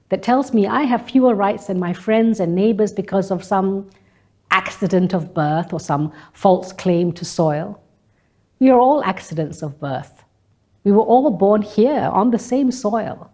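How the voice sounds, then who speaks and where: A woman from Malaysia delivers a scripted spoken-word monologue in a deliberate, rhetorical manner, building through repetition and lists. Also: medium pitch, darkish tone.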